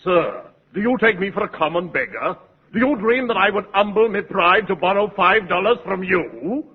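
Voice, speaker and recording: A man's voice: sits high, lively intonation, moderate pace, husky. A man playing a pompous, theatrical, grandiloquent moocher in a 1940s radio comedy, on a tinny vintage recording. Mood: grandiose. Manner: theatrical, grandiloquent, orotund phrasing. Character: pompous, florid, flattering pool-hall moocher, ham gentleman.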